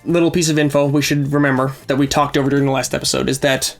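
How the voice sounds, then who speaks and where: A man's voice: medium pitch, flat delivery, fast talker, vocal fry, faint music behind. A man with a scratchy voice from a cold, hosting a casual reaction chat and recapping plot at length. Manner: chatty, explains plot at length. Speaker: reaction show host.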